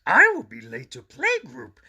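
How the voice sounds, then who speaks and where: A man voicing a small, furry child monster from a storybook, polite but dramatically dismayed, in a squeaky, strained voice. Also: huge pitch swings, normal speed, pushed and bright, full character voice. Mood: alarmed. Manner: exclamatory, distressed.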